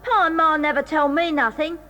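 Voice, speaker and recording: A woman's voice: sits high, steady tone, fairly quick, bright, forceful tone, long reverb tail, muffled recording. A woman voicing a sulky, cowardly boy who is a villain's son, whining through a 1980s audio drama. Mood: sullen. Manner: whiny, sullen.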